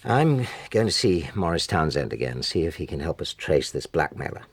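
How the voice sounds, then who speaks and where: A man's voice: a bass voice, big pitch swings, normal speed, slightly rough, no room sound, full character voice. A British actor playing a middle-aged, exasperated husband and father in a radio drama, speaking in a blunt, insistent way. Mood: grudging, firm. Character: angry, exasperated wronged husband and father, forceful, firm. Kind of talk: naturalistic radio drama acting.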